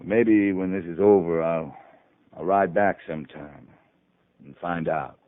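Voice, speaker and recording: Casting: frontier cavalry captain, clipped and authoritative, muffled vintage radio sound. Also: male voice, low-pitched, a little slow. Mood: earnest. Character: commanding, terse cavalry captain of the frontier West. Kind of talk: 1950s radio western acting. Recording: muffled narrowband 1950s radio recording.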